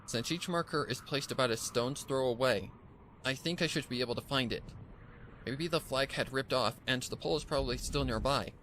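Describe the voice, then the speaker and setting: A man's voice: mid-range voice, a little fast, fairly bright tone, a little hiss or hum, pitched above the performer's own voice, natural voice, rough recording. A man voicing a brave young female pegasus pony who leads her group in an audio story. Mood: urgent resolve.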